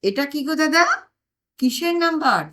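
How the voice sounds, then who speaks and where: A woman's voice: high voice, lively intonation, normal speed, pushed and bright, pitched above the performer's own voice. A woman voicing a naive, curious younger brother in a comic story, puzzled and full of questions.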